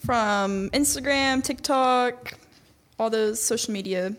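A woman guest on a podcast, reading out listener questions in a soft, slightly nervous way. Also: fairly high pitch, takes it slowly. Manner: soft-spoken, a little nervous.

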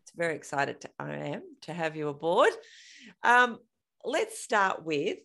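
A woman's voice: low-pitched, very animated, measured pace, vocal fry, bright, forceful tone. Chatty, eager female podcast host. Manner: enthusiastic, chatty, trips over her words. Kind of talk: podcast interview.

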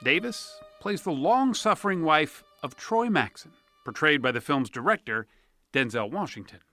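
Bright-voiced male news narrator. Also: a little high, expressive, no echo, faint music behind. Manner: polished, measured storytelling. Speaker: correspondent.

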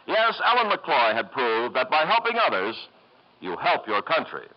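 Formal American radio announcer, vintage lo-fi sound. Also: male voice, medium pitch, big pitch swings, a little slow. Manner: formal, emphatic. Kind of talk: 1950s scripted radio narration. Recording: dull lo-fi old radio broadcast.

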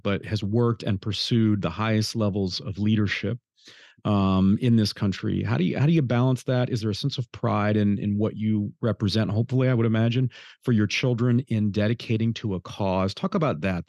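Low-voiced man hosting an interview. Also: creaky, darkish tone, no room sound, clean studio sound. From Detroit area, USA. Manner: rambling, searching questions with restarts. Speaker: podcast host and interviewer. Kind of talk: conversational interview.

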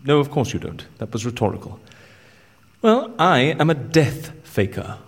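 An actor playing a cheeky, scheming con artist in an audio drama, with a wry, persuasive way of talking. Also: male voice, medium pitch, big pitch swings, normal speed, husky. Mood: wry, confident.

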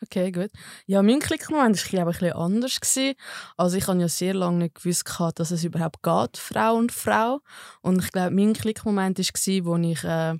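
A woman in her twenties from Zurich with a Swiss German accent, hosting a casual podcast chat, frank, quick to laugh and swearing casually. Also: lowish voice, darkish tone, no room sound, clean studio sound. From Zurich, Switzerland. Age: 20s. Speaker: podcast host.

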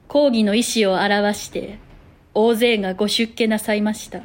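A woman's voice: medium pitch, darkish tone, lightly acted. A woman voicing a courteous noblewoman who narrates a historical audio drama in polite, formal storytelling.

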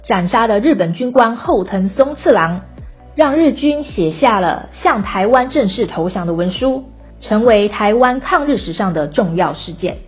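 A woman's voice: medium pitch, lively intonation, normal speed. A woman with a Taiwanese Mandarin accent narrating a scripted story for radio. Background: music.

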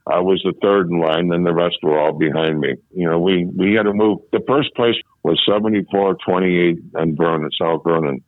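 A very deep-voiced older man comes through a thin, tinny phone line. He talks haltingly, circling back and repeating words as he recalls his past. The sound is close and dry, with no room around it. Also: dry recording. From Chicago, USA. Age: 70s. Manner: halting, repeats and restarts phrases. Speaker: interviewee, former policeman. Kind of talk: reminiscing in a phone interview. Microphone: telephone.

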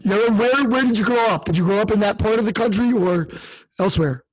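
A man hosting an interview, introducing his guest and asking measured, friendly questions. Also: high voice, dry recording, rough recording. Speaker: host. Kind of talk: podcast interview.

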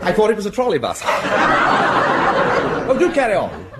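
An actor playing a breezy, jovial army major with an upper-class English accent and old-fashioned banter in a radio sitcom. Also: male voice, sits high, huge pitch swings, moderate pace. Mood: jolly, amused. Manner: hesitant stammering, old-fashioned posh banter. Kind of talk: broadcast radio sitcom acting. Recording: hissy vintage radio recording.

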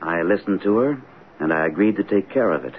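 Earnest, low-voiced father, tinny radio. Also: animated. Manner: earnest, gentle, deliberate. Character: concerned, patient father, imaginative and open-minded. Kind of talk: radio drama acting. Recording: tinny, noisy old radio broadcast.

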